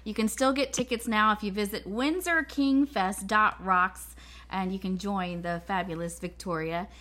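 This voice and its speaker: A woman's voice: medium pitch, normal speed, sharp tone. A woman co-hosting a podcast, delivering promotional announcements.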